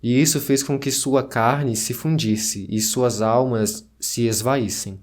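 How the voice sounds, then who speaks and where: A man playing a solemn chronicler of ancient myths in an audio drama. His voice sits at a middle pitch, and he narrates with measured, formal phrasing. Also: steady tone, natural voice. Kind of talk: scripted narration of legends.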